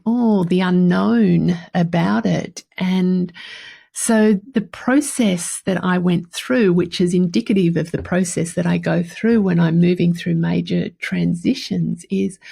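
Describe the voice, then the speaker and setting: Podcast host: Australian woman, fifties, mellow and raspy, candid conversational monologue. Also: on the low side. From country New South Wales, Australia. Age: 50s. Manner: candid, chatty, emphatic repetition. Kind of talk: personal reflective monologue, casual.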